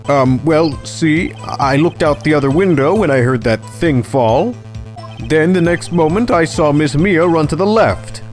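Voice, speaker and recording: Man playing flustered male witness, slow halting delivery, whiny, nervous, game dub, background music. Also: on the high side, close to an everyday voice. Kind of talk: voiced video game dub acting. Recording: music under the voice.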